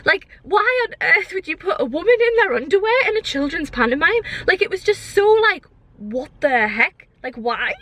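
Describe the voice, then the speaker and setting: A woman's voice: very high-pitched, sing-song, normal speed, a bit bright. A young woman chatting casually for a vlog, airing her opinions in an exasperated, emphatic way and repeating herself. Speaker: vlogger.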